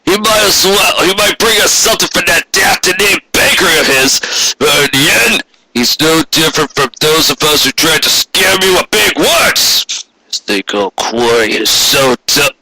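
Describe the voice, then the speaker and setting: A man voicing a big, gruff, cynical stallion boss in a storybook, with a slow, forceful, grudging way of talking. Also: a little high, pitched above the performer's own voice, full character voice, poor audio. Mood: cynical, grumbling.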